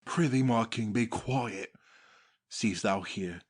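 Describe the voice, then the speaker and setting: A brutish monster slave played by a man, fawning and murderous. The voice is husky and on the low side, with an urgent, conspiratorial delivery in Shakespearean audiobook style. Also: slow. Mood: fawning, furtive. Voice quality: husky, rough. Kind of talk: dramatic Shakespearean audiobook reading.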